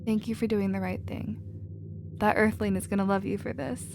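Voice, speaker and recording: A woman playing a protective, nurturing female alien with a casual, expressive manner in a sci-fi ASMR roleplay. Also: medium pitch, normal speed, vocal fry, background noise, lightly acted. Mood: warm, reassuring.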